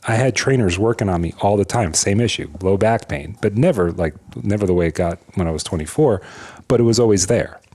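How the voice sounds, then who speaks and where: A man's voice: fairly low pitch, brisk, a little mellow. A man, a chiropractor, explaining health topics as a podcast guest, talking with energy and frequent restarts and repeated words. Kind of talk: conversational interview, explaining.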